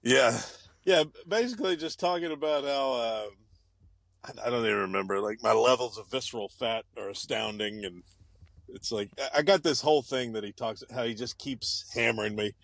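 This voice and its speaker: Brief: male co-host and budding comic, laid-back and hesitant, mid-range pitch, dry sound. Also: moderate pace, gravelly, a bit bright, dry recording. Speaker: podcast co-host and aspiring stand-up comic. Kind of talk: casual banter. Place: in his car.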